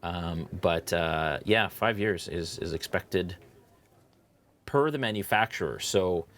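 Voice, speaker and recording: A man hosting a live miniature painting tutorial, relaxed and chatty, thinking aloud as he paints. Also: low-pitched, slow pace, slight background noise.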